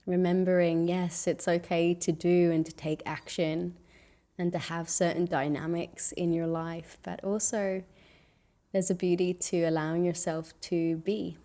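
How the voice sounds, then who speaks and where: Slow, soft-toned woman guiding meditation. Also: fairly low pitch, level tone. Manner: soothing, gentle, unhurried delivery with long pauses. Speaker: meditation guide. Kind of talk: guided meditation.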